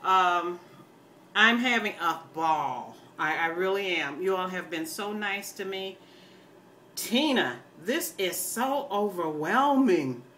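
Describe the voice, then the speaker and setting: An older American woman talks casually to her online viewers. Her voice is bright and forceful, and her manner is folksy, opinionated and plain-spoken. Some background noise sits behind her. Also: lowish voice, expressive, slow. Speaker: video blogger. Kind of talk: casual rambling chat to viewers.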